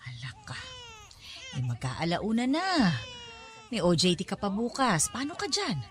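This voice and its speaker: A woman's voice: medium pitch, huge pitch swings, unhurried. An actress playing a concerned, fussing middle-aged mother in a Tagalog radio drama. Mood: concerned, exasperated.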